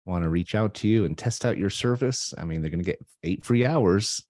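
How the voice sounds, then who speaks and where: A man with a low, mellow voice hosts a talk radio interview over a video call. He sounds upbeat and affirming, and he keeps checking in with his guest. The sound is dry and close. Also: brisk, dry recording. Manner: upbeat, affirming, often asks "right?". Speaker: radio show host.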